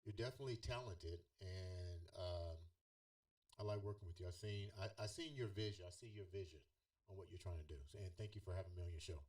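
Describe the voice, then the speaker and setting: Man, middle-aged, lowish voice, podcast guest, relaxed chat, frequent restarts. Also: quick pace. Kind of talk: casual interview chat.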